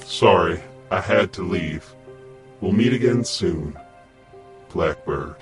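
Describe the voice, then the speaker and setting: A man's voice: deep voice, expressive, takes it slowly, exaggerated voice. A man playing a mysterious, cryptic rescuer whose note is voiced in an audio drama. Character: mysterious masked rescuer Blackbird, voicing a note. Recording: music clearly under the voice.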